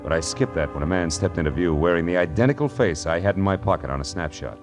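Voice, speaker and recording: A man's voice: low voice, fast talker. A man acting a tough, wisecracking, cynical private detective in a 1940s radio noir, with a wry, slangy, punchy delivery. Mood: wry narration. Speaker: narrator and lead. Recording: vintage 1940s radio recording with music.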